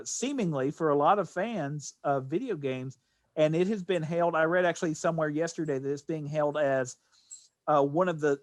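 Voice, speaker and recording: Male podcast co-host, slightly high register, enthusiastic and emphatic, casual chat, very dry close sound. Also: no room sound. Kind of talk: casual podcast chat about games and films.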